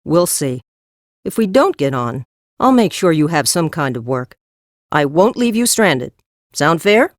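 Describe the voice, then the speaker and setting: A woman's voice: low-pitched, very animated, unhurried, no room sound, clean studio sound. A woman acting a tough but kindly horse trader in a full-cast audiobook, blunt, practical and no-nonsense. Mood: frank, kindly.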